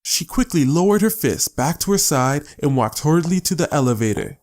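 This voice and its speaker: A man narrates an audiobook story in scripted prose. His voice is pitched a little high for a man. He tells the tale as the narrator, apart from the characters. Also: big pitch swings, brisk, a little mellow.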